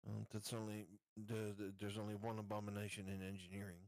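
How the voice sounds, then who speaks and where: A man playing a tabletop game, talking through options casually in a halting, deliberating way. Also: on the low side, monotone, darkish tone, no echo, poor audio.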